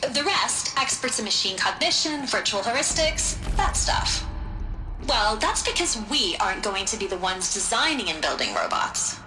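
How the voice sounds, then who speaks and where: A woman voicing an informal, eager engineer briefing recruits in a video game, with a halting, wry delivery. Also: medium pitch, very animated, close to an everyday voice. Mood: informal, upbeat. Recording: roomy echo, music underneath.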